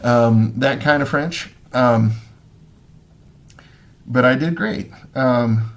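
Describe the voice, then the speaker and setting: Livestream host: a middle-aged Midwestern man with a gravelly voice, loose and rambling, lots of ums. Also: mid-range voice, drawn-out words. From Missouri, USA. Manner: rambling, many ums and restarts. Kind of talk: casual chat, answering viewer comments. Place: home.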